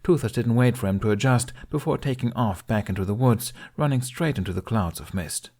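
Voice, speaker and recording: A man reading a fantasy story aloud as narrator, in an unhurried, measured way. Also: medium pitch, lively intonation, a little mellow. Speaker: fan fiction audiobook reader.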